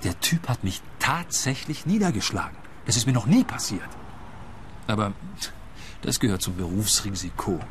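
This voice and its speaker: An actor playing a naive, bumbling detective from Germany, earnest and literal-minded, in a radio play. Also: male voice, on the low side, animated, moderate pace, fairly bright tone, slight background noise.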